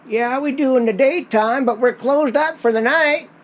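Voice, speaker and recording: A man's voice: extremely high pitch, normal speed, pitched above the performer's own voice. A man playing a curt, scolding, folksy lunchroom owner in a radio play. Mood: grumpy. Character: gruff, irritable rural male roadside lunchroom owner, married.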